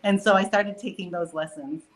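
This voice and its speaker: A woman's voice: mid-range voice, fairly even intonation, normal speed, poor audio. A woman speaking casually and conversationally as a guest on a talk show.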